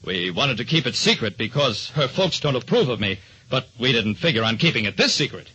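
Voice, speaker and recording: A man's voice: medium pitch, very animated, a little fast, sharp tone, breathy edge, full character voice. A man playing a determined publicity director, speaking firmly in an old radio drama. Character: determined tall thin male publicity director. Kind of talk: 1940s radio drama acting. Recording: dull old-time radio recording.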